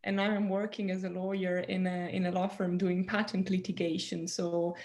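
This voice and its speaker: A woman's voice: lowish voice, little pitch movement, normal speed. A woman in her thirties with an Italian accent, a patent lawyer, speaking with enthusiasm over a video call. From Italy. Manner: warm, enthusiastic alumni talk.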